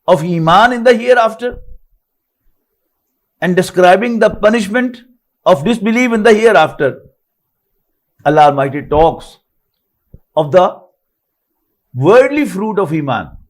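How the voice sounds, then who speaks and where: Older Pakistani male Quran teacher, high lively voice, recites verses, then explains each phrase. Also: unhurried, long pauses. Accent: Pakistani English. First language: Urdu. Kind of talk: online lecture, reciting and translating verses.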